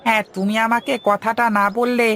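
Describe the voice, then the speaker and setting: A woman's voice: on the high side, level tone, brisk, fairly bright tone. A woman voicing an obliging, easily flustered male servant in a cartoon, eager and folksy. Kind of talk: cartoon voice acting.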